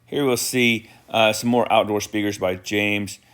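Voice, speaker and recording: A man with a somewhat low voice narrates a product tour for viewers. His delivery is informal and approving, as he points out one feature after another. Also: fairly even intonation, unhurried, a bit bright.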